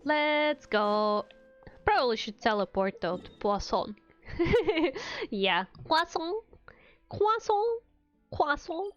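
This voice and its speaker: A woman gives casual live commentary on a game in a fairly high voice. She talks slowly and playfully. The sound is a little dull, with faint music behind her. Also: big pitch swings. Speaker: game streamer.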